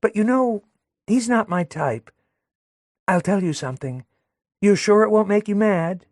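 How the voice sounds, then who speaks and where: A man's voice: high-pitched, lively intonation, a little slow, a little mellow, no room sound, clean studio sound, voice pushed up. A man voicing a coy, jealous young woman who sweet-talks her lover and gossips with a catty edge.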